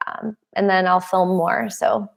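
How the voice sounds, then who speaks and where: A young adult woman chats through a live Q&A, reading viewer comments aloud and answering in a loose, friendly way. Her voice sits at a middle pitch with a noticeable gravelly fry. She laughs often between remarks. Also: steady tone, a little slow. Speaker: live stream host. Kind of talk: casual live Q&A chat.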